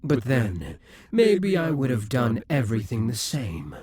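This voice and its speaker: A man's voice: mid-range voice, sing-song, unhurried, a little mellow, slightly rough, no echo. Brief: male actor as a scheming demon king for an audio roleplay, dry close sound, taunting and possessive. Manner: taunting, possessive, drops to whispers.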